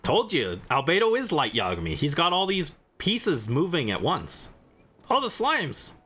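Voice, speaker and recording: A man's voice: on the high side, wildly swooping pitch, a little slow, sharp tone, breathy edge. A man hosting a livestream, chatting casually while he plays a game. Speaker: livestream host. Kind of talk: casual game stream chat, reading dialogue aloud.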